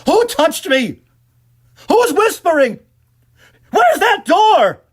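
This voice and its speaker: A man voicing a panicking man trapped in a dark haunted house in a story, crying out desperately. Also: extremely high pitch, big pitch swings, slow, pitched above the performer's own voice, exaggerated voice. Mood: frightened, demanding.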